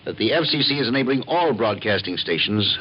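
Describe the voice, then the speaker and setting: Male performer, dull vintage radio recording, low pitch, animated intonation, sober formal official, explanatory interview style. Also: brisk, natural voice. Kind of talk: scripted 1950s radio interview. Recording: dull old radio recording, faint noise.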